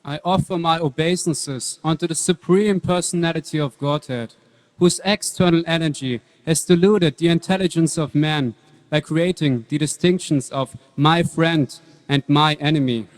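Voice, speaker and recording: Man as devout young boy, serene, earnest, measured, fairly high voice, long hall reverb. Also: lightly acted. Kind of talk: stage dramatic reading.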